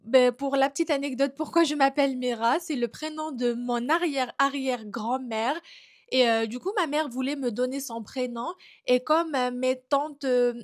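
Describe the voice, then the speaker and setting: High-voiced woman telling her story. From Algeria. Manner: chatty, personal storytelling. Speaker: fashion content creator. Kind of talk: casual vlog introduction.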